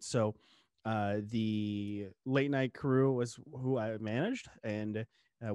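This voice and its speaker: Young male podcast host, lively casual interviewer, dry studio mic. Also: medium pitch, dry recording. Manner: animated, talks with his hands. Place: home studio room. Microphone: studio mic on boom arm, headphones.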